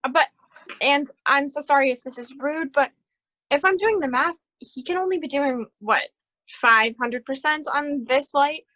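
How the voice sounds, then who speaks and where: Actress as apologetic nervous woman, high bright voice, dry close sound, earnest hesitant phrasing, stage play. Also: normal speed, dry recording, rough recording. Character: polite, apologetic, nervous woman accountant newcomer.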